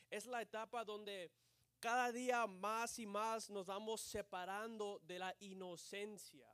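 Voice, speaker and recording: A man with a high, smooth and resonant voice preaches at a church service. He speaks a phrase in Spanish, then repeats it in English, dropping frequent amens along the way. The sound is close and dry. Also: fairly bright tone, no echo. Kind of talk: bilingual sermon, translating himself line by line.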